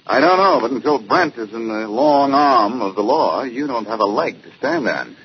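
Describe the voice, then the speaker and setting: An actor playing a wry, teasing, unflappable amateur detective in an old-time radio drama. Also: male voice, mid-range voice, expressive, normal speed, loud music behind the voice, lightly acted. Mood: wry, cool. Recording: muffled, hissy old radio recording.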